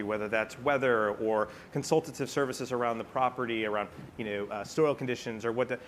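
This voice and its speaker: A man's voice: medium pitch, a little hiss or hum. A man answering as a business panelist at a conference, explaining in a conversational way. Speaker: conference panelist, retail executive.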